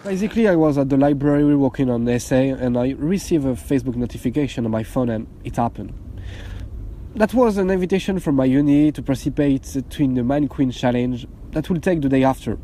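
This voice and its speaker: A man's voice: mid-range voice, brisk, warm tone, slight background noise, close to an everyday voice. A man playing a rattled young law student. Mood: rueful. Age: 20s. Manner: hesitant, corrects himself mid-sentence. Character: young male law student, shaken and sheepish. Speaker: interviewee in a mock news report.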